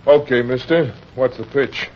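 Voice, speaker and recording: A man's voice: mid-range voice, unhurried, slight background noise, close to an everyday voice. An actor playing an edgy, bewildered young man, defensive and questioning, in an old-time radio drama. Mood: wary. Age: 20s. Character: edgy, bewildered young husband suspected of murder. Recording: dull old radio recording.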